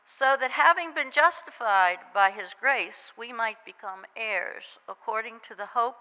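A woman reading a scripture passage aloud in a measured, deliberate way. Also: fairly high pitch, bright, forceful tone. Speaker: scripture reader. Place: church sanctuary. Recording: long reverb of a church hall.

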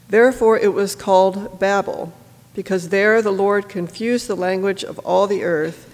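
A scripture reader with a stuffy, congested voice and a gravelly edge, reading at an even pace. The sound is picked up across a large church sanctuary, with a long echo trailing each phrase. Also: mid-range voice. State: stuffy from a cold. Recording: echoey church from a distance.

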